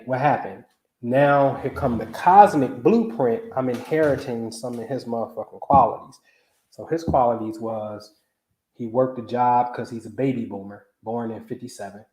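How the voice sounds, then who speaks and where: A man's voice: mid-range voice, a little mellow, roomy sound, low-quality recording. A man in his forties hosting a casual astrology livestream, talking loosely and animatedly in slang, often asking "you know what I'm saying?". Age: 40s. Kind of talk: casual live talk.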